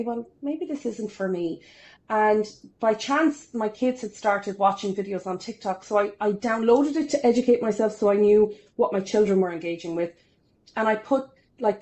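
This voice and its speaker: A woman's voice: medium pitch, warm tone, rough recording. Chatty woman in her forties. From Ireland. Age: 40s. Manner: chatty, upbeat, self-deprecating humour. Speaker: podcast guest. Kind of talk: casual interview storytelling.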